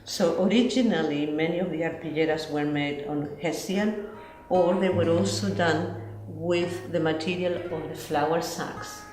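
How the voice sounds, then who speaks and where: A woman with a Chilean accent and Spanish as her native language, explaining textiles in an interview in a deliberate, explanatory way. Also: low-pitched, steady tone, a little mellow. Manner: deliberate, explanatory, non-native English phrasing. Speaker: textile collection curator and interviewee. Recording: roomy echo, guitar music behind.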